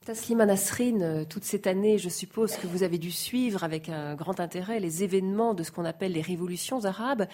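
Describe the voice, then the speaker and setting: A woman's voice: fairly low pitch, fairly quick, few pauses, mellow, slight background noise. A woman interviewer asking long, formal questions full of small hesitations.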